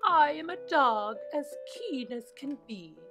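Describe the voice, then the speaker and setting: A woman's voice: sits high, animated, slow, over music, voice pushed up. A woman voicing a keen, polite and eager dog from a children's storybook.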